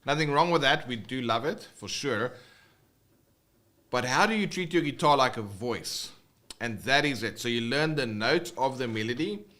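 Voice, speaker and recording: A man's voice: medium pitch, expressive, normal speed, bright, forceful tone. A man with a South African accent, teaching guitar in a casual, rambling livestream with many fillers and restarts.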